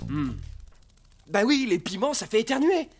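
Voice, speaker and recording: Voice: mid-range voice, very animated, normal speed, natural voice. An actor voicing a bossy, upbeat fox who takes charge in a French children's cartoon dub. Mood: determined. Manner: theatrical, take-charge. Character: resourceful fox leader disguised as a pet, bossy and upbeat. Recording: loud music under the voice.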